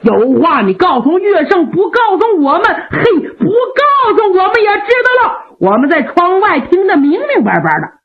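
A woman's voice: extremely high pitch, big pitch swings, moderate pace, few pauses, voice pushed up, full character voice. Woman doing rowdy, brash warrior pair. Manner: loud, teasing, brash. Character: two rowdy male warriors, mischievous, hot-headed. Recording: phone-like narrow band.

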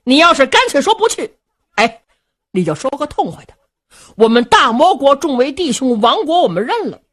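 A woman voicing a hot-tempered man who scolds loudly and high in traditional storytelling. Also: wildly swooping pitch, normal speed, husky, exaggerated voice. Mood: angry, scolding. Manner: loud, scolding, high-pitched shouting. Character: hot-tempered male sworn brother, blustering.

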